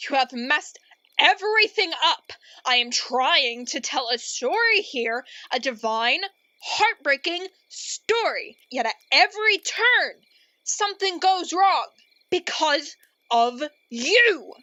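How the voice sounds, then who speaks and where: A woman acts out an earnest storyteller with a bright, high voice and a very dry, close sound. She delivers gloomy storybook narration deliberately and theatrically, as someone easily exasperated. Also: very animated, no room sound, lo-fi sound, exaggerated voice. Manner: melodramatic, deliberate.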